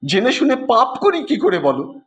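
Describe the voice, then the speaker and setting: A man's voice: very high voice, huge pitch swings, moderate pace, pitched above the performer's own voice, exaggerated voice. A man voicing a distraught, stubbornly pious housewife, pleading and insistent, in a dramatised audio story. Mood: indignant pleading. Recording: dull lo-fi track with background noise.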